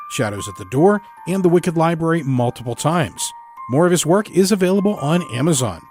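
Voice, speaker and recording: A man's voice: on the high side, a little mellow. A man who produces the show reading scripted podcast credits as an announcer.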